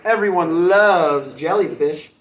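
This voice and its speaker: A man voicing an excitable, self-assured female jellyfish from a storybook, bubbly and boastful. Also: a little high, slow pace, muffled recording. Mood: confident.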